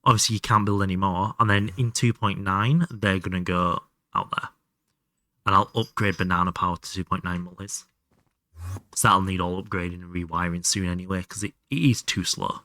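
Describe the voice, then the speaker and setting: A man's voice: low-pitched, fairly even intonation, moderate pace, bright, forceful tone, no room sound, clean studio sound. A man doing casual live game commentary and chatting to viewers, sweary and self-deprecating. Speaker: live streamer playing a video game.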